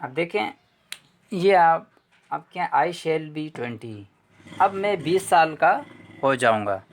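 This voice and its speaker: A man's voice: a little high, lively intonation, slow pace. A man teaching English grammar in a lecture, explaining patiently, repeating points and asking rhetorical questions.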